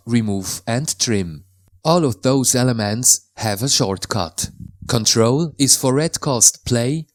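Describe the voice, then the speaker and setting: A man from Switzerland, a native Swiss German speaker with a Swiss German accent, calmly explaining how to install and use software in a tutorial voice-over, with slightly non-native phrasing. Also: medium pitch, no room sound, clean studio sound. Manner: careful, plain instructional delivery in slightly non-native English.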